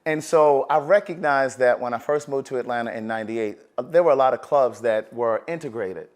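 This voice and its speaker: A middle-aged man hosts a relaxed sit-down conversation. He sounds friendly and chatty at an average pitch, and he often starts his sentences over. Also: fairly quick. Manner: friendly, talks in loose restarting sentences. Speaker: host. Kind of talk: relaxed interview chat.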